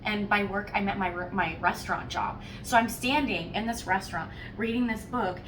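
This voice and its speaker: A woman giving a casual, chatty book review, animated and playfully wry as she tells it. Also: mid-range voice, moderate pace. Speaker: host of a book discussion podcast. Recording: roomy echo, distant mic, faint noise.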